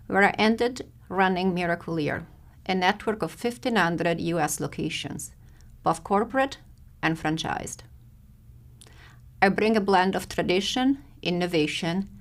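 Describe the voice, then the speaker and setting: A middle-aged woman from Italy with an Italian accent, giving a measured corporate presentation as a company president. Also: fairly low pitch. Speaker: group president of home-service brands.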